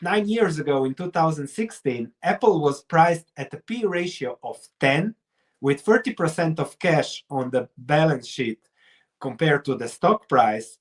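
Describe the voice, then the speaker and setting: A middle-aged man from Croatia speaks with a Croatian accent in a somewhat high voice. He sounds like an interview guest, laying out his reasoning step by step with examples. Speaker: investor and researcher, interview guest. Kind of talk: explanatory interview talk.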